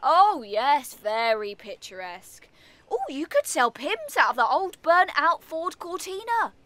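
High, sharp-voiced sardonic British woman. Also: very animated, measured pace, dry recording, exaggerated voice. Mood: sarcastic. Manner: sarcastic, brusque. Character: sardonic, defiant woman neighbour purging her belongings. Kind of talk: audio comedy play acting.

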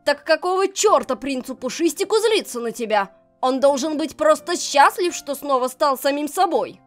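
A woman's voice: high voice, animated, moderate pace, soft background music. A woman voicing a brash, blunt female fish-monster warrior in a cartoon comic dub. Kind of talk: fan comic dub acting.

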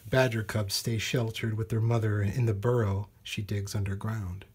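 A man with a husky voice reading a children's nature storybook aloud in measured, deliberate phrases. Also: on the low side, steady tone, darkish tone. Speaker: storybook reader. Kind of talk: read-aloud children's nonfiction storybook narration.

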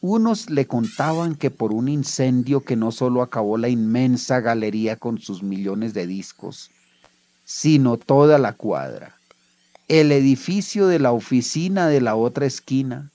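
A man with a Colombian accent reads literary fiction as its author. His pitch sits in a medium male range. The recording is dry, with very little room sound. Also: animated, unhurried, dark tone, no room sound. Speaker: writer reading his own short story. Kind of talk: literary story reading.

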